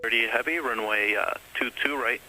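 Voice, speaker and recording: A man working as an air traffic controller, rattling off brisk takeoff clearances over the radio. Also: medium pitch, music in the background, poor audio. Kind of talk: rapid tower clearances. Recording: hissy radio transmission.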